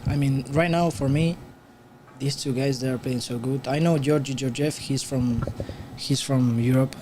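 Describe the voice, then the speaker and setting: A young man from Spain with a Spanish accent, a guest player commenting on pool in halting, restarting English from a tournament booth. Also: mid-range voice, steady tone, background noise. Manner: halting non-native English with frequent restarts.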